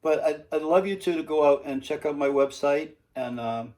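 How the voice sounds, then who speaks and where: A man's voice: a little high, moderate pace, a little mellow. A man in his seventies from New England with an American accent, talking in a chatty, easygoing, folksy way. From New England, United States. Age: 70s. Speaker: singer and guitarist. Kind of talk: casual chat on a phone call. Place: at home, indoors.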